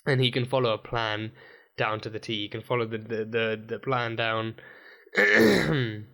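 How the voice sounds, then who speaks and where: A man with a dry, croaky throat recapping a TV episode in a rambling, casual way, full of fillers and restarts. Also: mid-range voice, unhurried, sharp tone. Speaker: TV episode reviewer. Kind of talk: casual reaction video recap.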